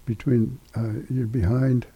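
Meditation teacher, older male, very dark mellow tone, husky, halting delivery with long pauses. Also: mid-range voice, little pitch movement, poor audio. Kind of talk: guided meditation instruction.

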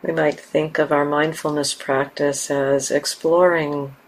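A woman teaching a guided meditation in a gentle, unhurried manner, leaving long pauses between short phrases. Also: low-pitched, poor audio. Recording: steady background hiss and room ambience.

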